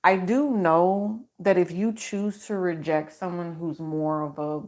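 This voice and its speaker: A woman with a low voice hosting a casual livestream card reading into a microphone, chatty and reassuring as she speaks in affirmations. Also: steady tone, unhurried. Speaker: tarot reader and channel host.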